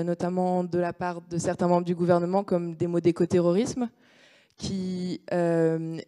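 A woman's voice: on the low side, warm tone, clear, resonant tone. A young woman asking a hesitant question at a public debate, with many fillers, speaking into a handheld microphone in a large room. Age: young adult. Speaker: audience participant.

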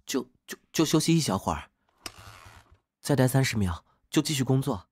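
A man's voice: medium pitch, expressive, moderate pace, a little mellow, slightly rough, no room sound. A young man playing a shy, conscientious trainee traffic policeman in an audio drama, hesitant and earnest. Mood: weary, earnest.

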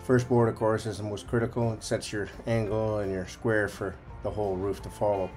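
A man's voice: fairly low pitch, level tone, a little fast, a little mellow, soft background music. A man giving a casual, folksy running commentary as a vlog host, explaining as he goes.

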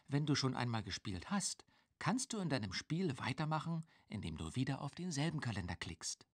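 A man playing a friendly narrator who gives scripted instructions in a children's game with a husky voice. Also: mid-range voice, sing-song, moderate pace, no room sound. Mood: helpful.

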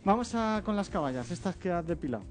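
Chef with a slight Catalan accent. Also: male voice, sits high, big pitch swings, fast. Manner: explains step by step, tags phrases with "eh?". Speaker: chef guest. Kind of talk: cooking demonstration. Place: TV studio kitchen. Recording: faint background music.

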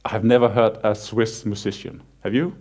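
Danish man, 30s, conference speaker on stage in a cinema hall, easygoing and joking, stumbles a bit. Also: lowish voice. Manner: relaxed, self-deprecating humor with frequent halting restarts. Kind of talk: conference talk, casual and joking.

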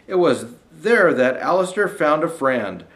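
A man's voice: fairly high pitch, very animated, measured pace, fairly bright tone. An older man reading a children's storybook aloud in a folksy way, speaking directly to young listeners as a Santa storyteller. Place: small indoor decorated studio set.

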